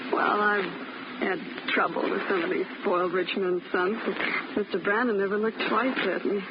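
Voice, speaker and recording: A flustered young housemaid keeps protesting her innocence, as an actress plays her in an old radio drama. The sound is muffled and dull, with heavy hiss over it. Her voice sits at an ordinary pitch. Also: steady tone, moderate pace, lightly acted. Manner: flustered, insistent denials. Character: nervous young maid, defensive and protesting innocence. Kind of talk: 1950s radio drama acting.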